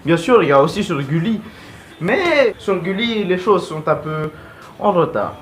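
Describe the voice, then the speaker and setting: Male video host, highly varied intonation, casual, rambling, blunt, music in background. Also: big pitch swings, a little slow. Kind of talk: casual fan vlog commentary.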